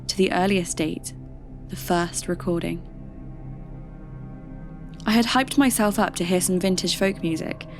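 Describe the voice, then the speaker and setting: An actress plays a curious podcast host in an audio drama. Her voice sits a little low, with faint music behind it. She tells her story in measured, conversational scripted narration. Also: darkish tone, natural voice. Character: spooky-curious female radio DJ turned podcast host.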